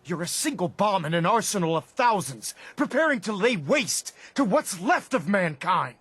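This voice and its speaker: Zealot army leader in a game, preachy and scornful, fairly high male voice that swings all over. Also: sing-song, moderate pace, fairly bright tone, husky, full character voice. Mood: righteous contempt. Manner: oratorical, contemptuous, emphatic. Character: zealous military commander, stern, unforgiving and grandiose. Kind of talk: dramatic video game acting. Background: cinematic music.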